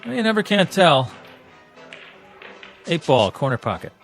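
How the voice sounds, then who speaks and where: Cocky young hustler, higher-pitched, offhand, self-assured, radio play, music behind. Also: male voice, sing-song, a little slow, gravelly, exaggerated voice. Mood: sly, casual. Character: cocky young pool hustler, playing it casual and proud. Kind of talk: radio drama acting.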